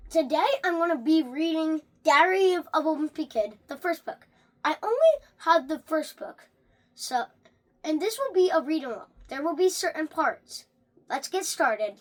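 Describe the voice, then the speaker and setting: A high, female-sounding voice reads a children's book aloud for a read-along. The delivery is animated and a little halting, with stumbles and restarted phrases.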